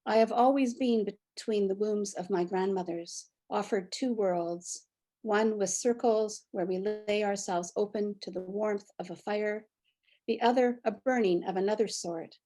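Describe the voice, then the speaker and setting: A woman in her sixties from Canada, a poet giving a short spoken introduction and then reading her poem aloud in a reflective, measured way. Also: medium pitch, warm tone. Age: 60s. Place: near the Fraser River, British Columbia.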